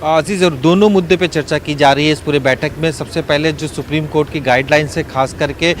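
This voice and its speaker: A man reporting live from the field, speaking off the cuff and haltingly with frequent filled pauses. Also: a little high, fairly even intonation. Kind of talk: unscripted live news report. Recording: outdoor city traffic noise.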